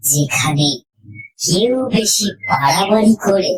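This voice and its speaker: A woman reading a scripted first-person horror story, in measured, deliberate phrases with pauses. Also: medium pitch, rough recording. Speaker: audio story narrator.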